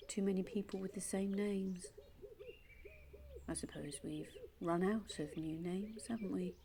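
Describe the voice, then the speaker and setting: A woman's voice: medium pitch, mellow, close to an everyday voice. A woman with an English accent playing a solitary, wistful woman in an audio play monologue, confiding and reflective. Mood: musing, wistful. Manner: musing, reflective, with tag questions and pauses. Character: solitary, wistful adopted woman searching for her birth mother.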